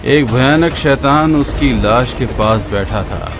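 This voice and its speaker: A man tells a horror story in a steady, dramatic voice of middle pitch, sinking lower for the grim parts. Loud eerie music plays under his narration throughout. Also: slow pace.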